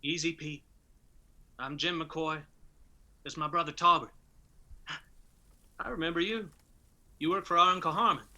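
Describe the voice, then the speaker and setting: A man's voice: fairly high pitch, normal speed, pauses often, pushed and bright. An actor playing a dutiful, peacekeeping mountain man in a radio drama, folksy and steadying, with an Appalachian accent. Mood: calming, concerned. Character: dutiful, peacekeeping mountain brother, Jim McCoy.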